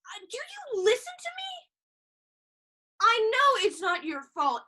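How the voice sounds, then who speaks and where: Woman to play insecure, hurting little sister in a stage drama; high, swooping voice, halting and raw. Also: huge pitch swings, bright, forceful tone, full character voice. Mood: angry, exasperated. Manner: halting, emotional, profane. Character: hurt, insecure younger sister fresh out of rehab. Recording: live stage recording.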